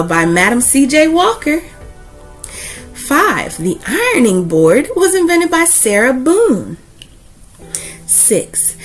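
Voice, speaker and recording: A woman hosts a children's read-aloud at a very slow, deliberate pace. Her delivery swings widely in pitch, with emphatic stresses and dramatic pauses. Music plays behind her voice. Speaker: host of children's book read-aloud series. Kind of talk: expressive read-aloud of a children's picture book.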